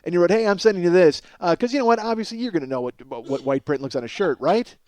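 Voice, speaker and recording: Male American comic, high voice, exasperated self-mocking storyteller, loose profane podcast monologue. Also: animated, quick pace, a little mellow, slight background noise. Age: middle-aged. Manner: exasperated, self-mocking, addresses listeners as folks. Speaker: comedian and podcast host. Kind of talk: ranting comedic monologue, casual and profane.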